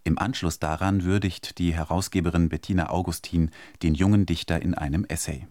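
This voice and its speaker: Male announcer for public radio, low voice, formal scripted delivery, dry studio sound. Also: fairly even intonation, warm tone, no room sound. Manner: formal, measured. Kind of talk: scripted programme introduction.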